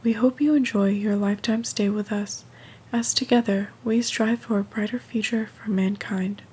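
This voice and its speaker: A woman playing a serene, polished leader of a bunker commune in an audio comedy, welcoming newcomers formally in a breathy half-whisper. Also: medium pitch, steady tone, normal speed, darkish tone. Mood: soothing, welcoming. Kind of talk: scripted ASMR orientation message.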